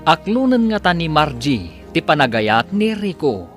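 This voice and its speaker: A man's voice: fairly high pitch, very animated, unhurried, faint music behind. A man with a Filipino accent narrates and announces a scripted radio drama in a dramatic style.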